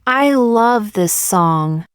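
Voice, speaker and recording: Slow, clear woman reading practice sentences. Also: mid-range voice, expressive, dry recording, clean studio sound. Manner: slow, careful, each sentence said twice. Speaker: English teacher.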